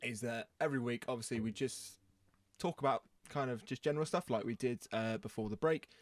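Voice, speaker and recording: A man hosts a casual podcast in a mid-pitched voice. He chats playfully, teasing with mock indignation. The sound is close and dry. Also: brisk, dry recording. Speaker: podcast host. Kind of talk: casual banter chat.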